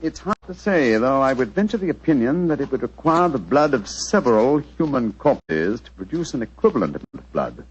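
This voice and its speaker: An actor playing an incisive, self-assured British gentleman detective with clipped, theatrical diction, in 1940s radio style. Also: medium pitch, very animated, a little fast, few pauses, breathy edge. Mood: keenly analytical. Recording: dull vintage radio broadcast recording.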